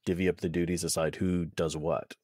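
A man hosting a podcast, asking his guests long questions in a relaxed way. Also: sits low, a little fast, no echo, studio quality. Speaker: podcast host. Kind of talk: interview questions.

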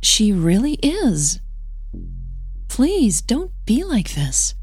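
A young female opera singer, played by a woman narrator, speaks gently and hesitantly in an audio drama. Her voice is lifted and soft, with a husky breathiness and faint music behind it. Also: on the high side, wildly swooping pitch, extremely slow, pitched above the performer's own voice, exaggerated voice. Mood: hurt, defensive.

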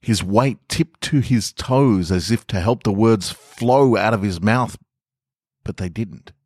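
A man's voice: fairly low pitch, takes it slowly, darkish tone, slightly rough, no echo, studio quality. A man hosting a podcast, talking casually and reading short stories aloud as narrator. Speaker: podcast host and author reading own stories.

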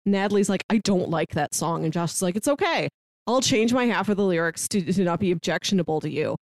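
A woman's voice: on the low side, lively intonation, fairly quick, no room sound, studio quality. A woman co-hosting a casual film discussion, retelling scenes and slipping into bits of dialogue as she goes. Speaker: podcast co-host reviewing a film.

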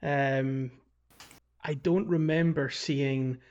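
Halting man chatting casually on a podcast. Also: medium pitch, steady tone, darkish tone, dull audio. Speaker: podcast host. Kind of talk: casual gaming podcast chat.

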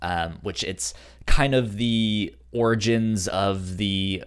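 A man co-hosting a podcast, discussing a TV show casually in a halting way as he feels out his words. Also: on the low side, clean tone. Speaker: podcast co-host.

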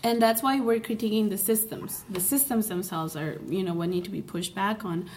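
A woman's voice: mid-range voice, a little hiss or hum. Emphatic woman in group discussion. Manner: animated, emphatic, quick to laugh. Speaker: university staff member.

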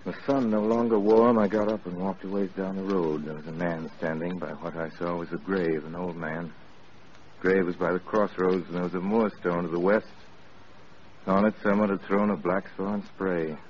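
Deep theatrical radio-drama narrator man. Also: steady tone. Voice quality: measured, theatrical, wistful narration. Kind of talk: 1940s radio acting. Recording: dull old radio broadcast with loud hiss.

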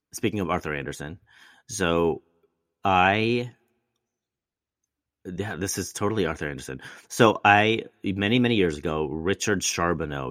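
A man's voice: sits low, a bit bright, no room sound, studio quality. A male actor telling long, rambling stories in casual chat, often restarting and quoting himself. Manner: rambling, many restarts and quoted asides.

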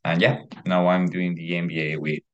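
Deep-voiced man with an Ecuadorian Spanish accent. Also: flat delivery, normal speed, a bit bright, highs cut off. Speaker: panelist, MBA student. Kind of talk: informal panel talk.

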